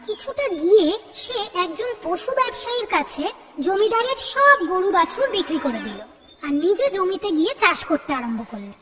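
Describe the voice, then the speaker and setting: A woman's voice: very high-pitched, sing-song, normal speed, long reverb tail, a loud crowd. A woman narrator reading a scripted fairy tale for a children's cartoon.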